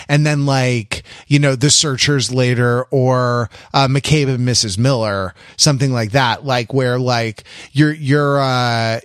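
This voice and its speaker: A man's voice: mid-range voice, no echo, clean studio sound. A man co-hosting a podcast, chatting casually in a wry, digressive way as he thinks aloud. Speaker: podcast co-host. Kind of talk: casual pop-culture discussion.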